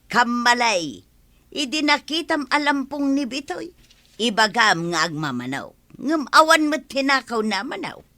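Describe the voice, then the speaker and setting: A woman acting a kind-hearted older village neighbour, gentle and forgiving, with an Ilocano accent in a comedy radio drama. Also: fairly high pitch, very animated, measured pace, heavily acted. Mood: reasonable. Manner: gentle, forgiving, matter-of-fact.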